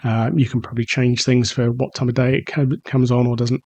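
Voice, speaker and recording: A man's voice: mid-range voice, little pitch movement, brisk, a little mellow. A man with a mid-range voice talks through a product in a casual review. He explains things informally, feeling his way through sentences with some hesitation. It sounds like a home renovator sharing an honest hands-on walkthrough.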